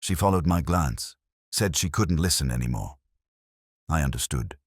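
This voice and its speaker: A man playing a weary, melancholy older police detective, narrating a scripted crime story in a measured, solemn, literary manner. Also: a bass voice, animated, dry recording, studio quality. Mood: somber, quiet.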